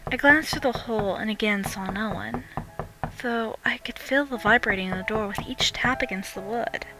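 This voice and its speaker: A woman's voice: fairly high pitch, moderate pace, noisy background. A young adult woman reading a first-person horror story as dramatic scripted narration. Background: music.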